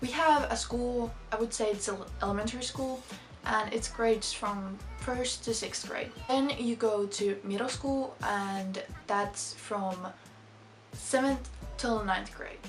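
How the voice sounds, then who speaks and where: A woman's voice: on the high side, slow pace, faint music behind. A woman in her late teens with a Finnish accent, a native Finnish speaker, talking casually to camera as a vlogger and explaining things. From Finland. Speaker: vlogger, exchange student.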